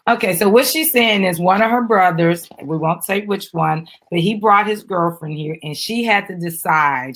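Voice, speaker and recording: A middle-aged woman with a slightly husky voice, reading a children's book aloud and chatting with a child and audience. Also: lowish voice, fairly bright tone. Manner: addresses the child and audience, prompting with questions. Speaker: mother reading a children's book with her daughter. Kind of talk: dramatic storybook reading with casual asides.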